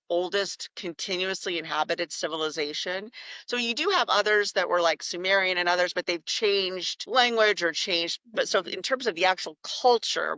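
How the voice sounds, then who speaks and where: A woman talks as an interview guest, her voice bright and forward with a gravelly edge of fry. She tells her stories in a reflective, conversational way. The sound is close and dry, with no room behind her. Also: fairly low pitch, no echo, rough recording. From United States. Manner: reflective, warm storytelling with some restarts.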